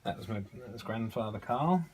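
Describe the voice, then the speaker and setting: Halting man reminiscing over noise. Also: fairly low pitch, lively intonation, a little mellow. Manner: halting, searching for words. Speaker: storyteller showing family photo archive. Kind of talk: unscripted reminiscing, casual chat.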